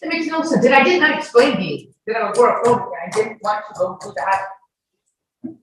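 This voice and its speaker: A woman's voice: a little high, animated, fairly quick, a bit bright. A woman giving courtroom testimony as a witness, answering questions in an emphatic, defensive way. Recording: echoey, distant courtroom audio with faint noise.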